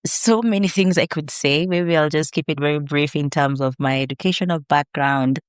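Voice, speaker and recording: A woman with a Kenyan accent, a podcast guest and researcher, telling her story in a measured, earnest way. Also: sits low, clear, resonant tone, no room sound, clean studio sound. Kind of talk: reflective interview answers.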